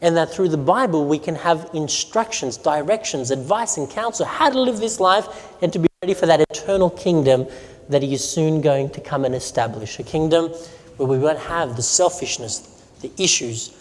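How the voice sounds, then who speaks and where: A man's voice: fairly high pitch, animated, normal speed. Man preaching in a reverberant hall. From Romania. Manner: storytelling, asks the audience questions. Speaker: pastor. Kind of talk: sermon presentation.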